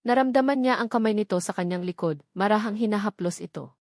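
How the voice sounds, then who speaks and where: Female storyteller, dramatic scripted narration, mid pitch, close dry recording. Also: fast talker, darkish tone, no room sound.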